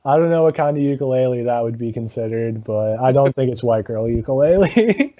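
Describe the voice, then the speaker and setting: A man, a guest in a casual interview, talking about his comedy rock band with a low voice, lots of ums and laughs. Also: expressive, warm tone, dull audio. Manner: halting, many ums, laughs often.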